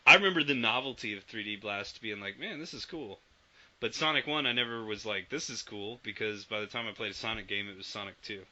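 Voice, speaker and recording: A man co-hosting a casual video game podcast, animated and swearing freely as he acts out old quotes. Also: on the low side, brisk. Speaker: podcast co-host. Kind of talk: casual chat about video games. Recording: dull lo-fi podcast audio.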